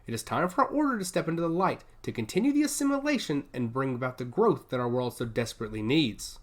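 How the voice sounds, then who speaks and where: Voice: medium pitch, sing-song, fast talker, lightly acted. A narrator voicing a revered, commanding old woman who leads a secret order, speaking steadily and with solemn deliberation. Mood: solemn, urgent. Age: older.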